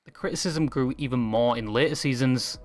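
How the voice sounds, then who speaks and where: Man, video essayist, scripted narration, medium pitch, background music. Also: brisk. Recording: music behind the voice.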